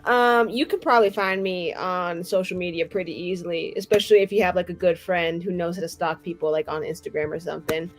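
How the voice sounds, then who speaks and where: A woman's voice: on the low side, steady tone, brisk, hardly any pauses, faint music behind. Playfully boastful woman chatting on a game stream. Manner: playfully boastful, theatrical emphasis. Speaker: player on a tabletop roleplaying stream. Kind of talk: casual chat.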